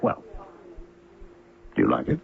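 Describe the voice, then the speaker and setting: A man's voice: unhurried, narrow, tinny audio, close to an everyday voice. An American actor playing an impatient, lovestruck scientist in old-time radio drama, pushy and insistent in his delivery. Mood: wooing, teasing. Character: impatient, lovestruck male scientist, demanding and frustrated suitor. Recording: band-limited radio drama recording with music.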